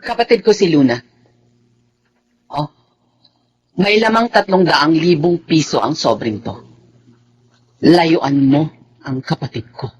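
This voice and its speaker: An actress playing a hostile, domineering and protective older sister with a Filipino accent in a radio drama. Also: female voice, sits low, expressive, slow, pauses often, husky, highs cut off, exaggerated voice. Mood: cold, menacing. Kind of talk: Tagalog radio drama acting.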